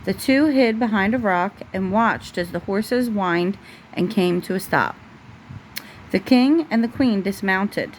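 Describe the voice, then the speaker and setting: A woman's voice: medium pitch, measured pace, low-quality recording. A woman with a mid-range voice reads a bedtime storybook aloud as a parent. It is a home recording with light noise behind her.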